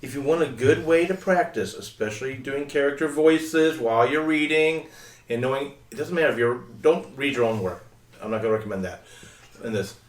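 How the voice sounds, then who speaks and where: A man's voice: mid-range voice, brisk, roomy sound, distant mic. A man hosting a casual panel chat, talking in a chatty way and often stumbling and correcting himself. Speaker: podcast host.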